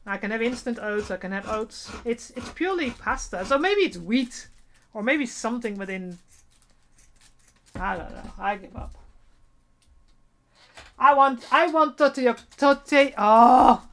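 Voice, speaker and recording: Woman in her forties, rambling cosplay streamer voice a bit on the high side. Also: expressive, a little slow, pauses often, a bit bright. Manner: rambling, self-interrupting, laughs at herself. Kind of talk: casual live-stream chat while sewing.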